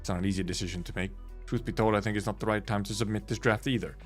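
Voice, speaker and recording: A man voicing a composed female city leader from a game story, in his own low voice. The reading is calm and persuasive, over faint music. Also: very fast, close to an everyday voice. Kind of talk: live reading of game dialogue.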